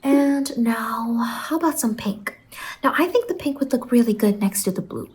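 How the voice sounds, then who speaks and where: A woman playing a whimsical, reassuring salon stylist in an ASMR roleplay, speaking softly and gently on a close microphone and often slipping into whispers. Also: on the high side, lively intonation, close to an everyday voice. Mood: soft, encouraging. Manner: gentle, reassuring, drawn-out words. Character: whimsical, reassuring female fever-dream hair salon stylist.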